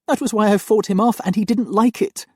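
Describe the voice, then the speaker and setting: A man's voice: high voice, a little fast, dark tone, husky, no echo, clean studio sound, voice pushed up. A man voicing a frightened young woman nanny, pleading earnestly through tears.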